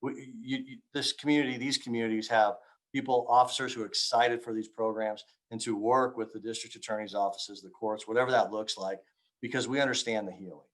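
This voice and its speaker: A middle-aged man giving earnest, informal remarks as a police officer, often halting as he searches for words. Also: medium pitch, steady tone, fairly bright tone, poor audio. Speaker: police officer speaking at a community forum.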